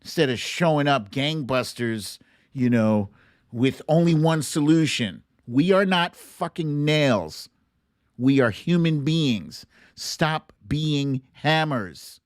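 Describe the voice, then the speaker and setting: A middle-aged man with an American accent hosts a casual show, speaking at an unhurried pace. He lands short phrases with emphasis and deliberate pauses, moving through opinion and storytelling. The sound is dry, with little room on it. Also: fairly high pitch, animated, no echo. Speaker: show host. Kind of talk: casual monologue, commentary and storytelling on a livestream.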